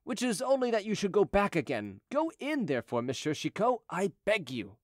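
An anxious male palace sentry, played by a male narrator from a storybook. His voice is high and swooping, deferential and persuasive, recorded dry. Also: big pitch swings, fairly quick, no room sound. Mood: pleading. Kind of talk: audiobook character dialogue.

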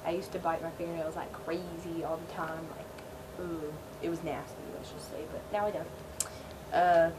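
A teenage girl vlogging from her bedroom, answering questions in a laid-back, filler-heavy way and trailing off often. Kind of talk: casual Q&A chat. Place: small bedroom, indoors. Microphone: built-in webcam mic. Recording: quiet, low-volume webcam audio with loud hiss.

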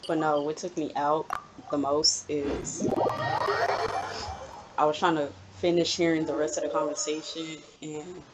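A woman's voice: deep voice, level tone, measured pace, long pauses, very noisy. A young adult woman giving blunt, sassy, casual reaction commentary while playing a video game. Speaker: gaming YouTuber. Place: indoor room at home. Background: game music.

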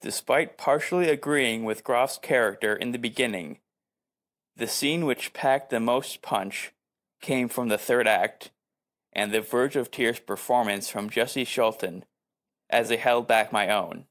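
A man's voice: mid-range voice, a little slow, a bit bright. A man narrating a scripted audio review as a podcast host.

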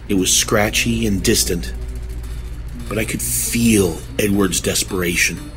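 An actor playing an earnest, troubled husband, recounting strange events emphatically in a horror audio drama. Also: male voice, low-pitched. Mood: somber.

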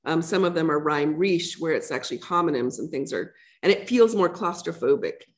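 Reflective woman poet, low voice. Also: expressive, brisk. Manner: reflective, conversational, thinking aloud. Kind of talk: answering audience questions after a reading. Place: lecture room with a projection screen.

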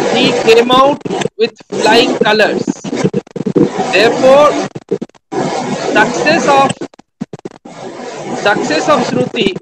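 A man's voice: extremely high pitch, very noisy. A middle-aged man hosting the program, speaking formally and emphatically through a headset on a video call from a small room. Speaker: program host, teacher. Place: small home room indoors.

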